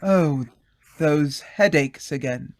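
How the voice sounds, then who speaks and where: Guy as overly friendly confident gent, slightly high voice, kinda insinuating, old radio play. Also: slow. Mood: probing, solicitous. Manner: hearty, insinuating, self-assured. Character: smooth, jovial, probing male acquaintance with authority. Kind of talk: old-time radio drama acting.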